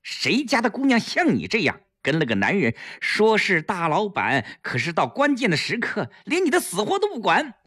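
A male storyteller voicing a quick-witted, emotional young woman who cries out and scolds in a raised falsetto. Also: wildly swooping pitch, breathy edge, full character voice. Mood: scolding. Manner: shrill pleading cries, then hushed urgent asides.

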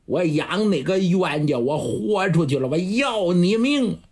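A man's voice: high-pitched, animated, a little slow, a little mellow, full character voice. A man voicing an enraged old father who bellows curses in a cracking voice, in a traditional Chinese storytelling performance. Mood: furious. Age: older.

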